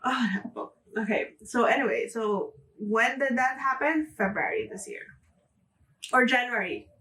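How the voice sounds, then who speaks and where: Chatty young Filipino woman, slightly higher voice. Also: expressive, measured pace, fairly bright tone, distant mic, poor audio. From Philippines. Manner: chatty, frequent "like" fillers, light laughs. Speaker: booktuber. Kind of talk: casual chat to camera.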